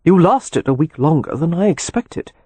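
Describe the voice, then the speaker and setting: A narrator puts on a wry gentleman rake in an audiobook. He is affectionate and teasing, speaking with dry nonchalance in a husky voice, with no room sound at all. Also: mid-range voice, animated, normal speed, no echo. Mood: dry, consoling. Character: Leo, wry, rakish, affectionate gentleman brother. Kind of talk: audiobook character dialogue.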